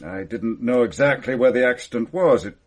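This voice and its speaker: A man playing an indignant, haughty titled gentleman under suspicion in a classic radio drama, answering in a blustering, defensive way. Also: medium pitch, brisk, natural voice.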